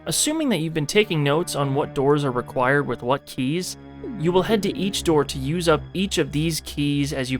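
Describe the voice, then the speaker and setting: A man hosting a video game retrospective, giving informal, mildly sweary commentary. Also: on the high side.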